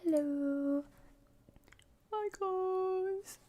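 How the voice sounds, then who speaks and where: A woman streamer chatting casually to viewers in a soft, breathy, often half-whispered voice with a gentle, unhurried manner. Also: very high voice. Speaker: game streamer and host. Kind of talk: casual livestream chat to viewers.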